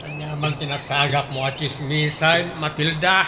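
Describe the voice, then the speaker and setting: A man's voice: fairly high pitch, fairly even intonation, normal speed, bright, forceful tone, a little hiss or hum. A man playing a mild, reasoning husband in a comedic radio drama, with a Filipino accent. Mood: mildly reproving.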